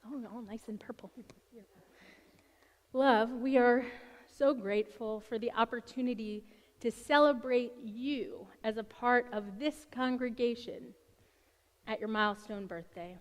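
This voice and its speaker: A woman of the clergy reciting a congregational blessing in a measured, prayerful cadence. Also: a little high. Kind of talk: liturgical blessing. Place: sanctuary. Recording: long hall reverb.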